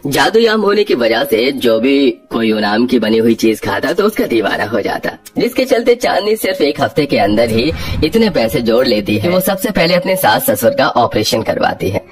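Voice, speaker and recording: Male storyteller over soft music. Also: medium pitch, very animated, moderate pace. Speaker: narrator. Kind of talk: scripted children's story narration.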